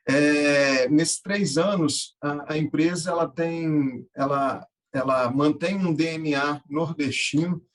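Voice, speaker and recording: A man, answering interview questions conversationally and haltingly, often repeating words. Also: a little high, fairly even intonation. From Minas Gerais, Brazil. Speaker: podcast guest, tech founder and angel investor.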